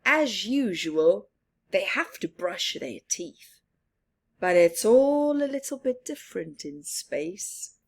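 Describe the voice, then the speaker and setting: Slow, expressive woman reading a storybook. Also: medium pitch. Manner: slow, expressive, with soft laughs and whispered asides. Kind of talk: children's picture book read-aloud.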